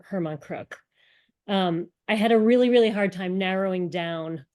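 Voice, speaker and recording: A woman's voice: lowish voice, mellow. A woman giving an earnest, explanatory lecture, with frequent ums. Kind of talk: synagogue lecture presentation.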